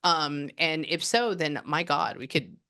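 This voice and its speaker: A woman's voice: low voice, a little slow, sharp tone, smooth. A woman working as a psychic medium, chatting casually and rambling with lots of restarts. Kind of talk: casual reading on a video call.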